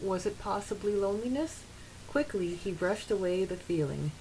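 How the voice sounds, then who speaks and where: A woman's voice: medium pitch, expressive, normal speed, mellow, rough recording. A giggly woman co-hosting a podcast, casually discussing a book and reading out quotes from it. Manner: giggly, often laughing. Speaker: podcast co-host. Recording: hissy background noise.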